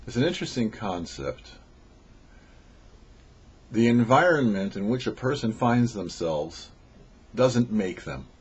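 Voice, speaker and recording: A man who is a rabbi, giving a short weekly Torah teaching with measured, slow emphasis on key phrases. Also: fairly low pitch, lively intonation, husky, dull audio. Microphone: studio microphone.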